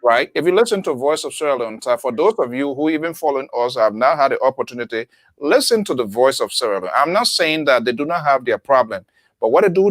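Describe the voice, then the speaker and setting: Radio host, dry close sound. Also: male voice, a little high, fairly bright tone, no echo. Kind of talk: radio panel discussion.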